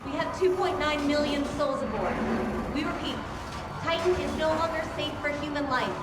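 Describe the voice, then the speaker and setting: An actress playing an urgent colony controller making a formal disaster broadcast in a sci-fi game, distant and echoing under noise. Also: female voice, high-pitched, normal speed, natural voice. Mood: urgent, grim. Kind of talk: dramatic video game radio acting.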